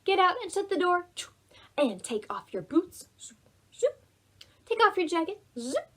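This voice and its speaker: A woman hosting preschool storytime as a children's librarian, reading aloud and leading action rhymes in an animated, singsong, exaggerated way for small children. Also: extremely high pitch, unhurried.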